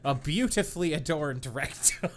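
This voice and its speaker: A man's voice: on the high side, animated, moderate pace, a little hiss or hum. A man hosting a comedy read-aloud, stumbling over clumsy prose with incredulous, mocking asides and a tendency to crack up. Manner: breaks into laughter and stumbles while reading. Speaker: podcast host reading aloud. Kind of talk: comic read-aloud of bad fan fiction with asides.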